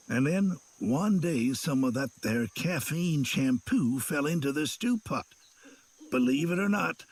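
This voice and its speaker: An actor playing a friendly, sentimental old country widower with a folksy drawl in a video game. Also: male voice, mid-range voice, husky. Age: older. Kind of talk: video game character dialogue. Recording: music under the voice.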